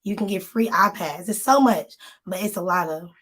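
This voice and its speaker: Woman co-host, casual live chat, a bit hoarse, speaks right to the viewers. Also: lowish voice, normal speed, background noise. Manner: chatty, addresses viewers directly. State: slightly hoarse, voice still recovering. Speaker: magazine co-host.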